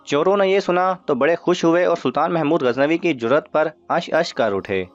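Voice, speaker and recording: A man's voice: a little high, no echo. A man narrating a scripted moral story for children, as a storyteller. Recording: muffled audio with music underneath. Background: music.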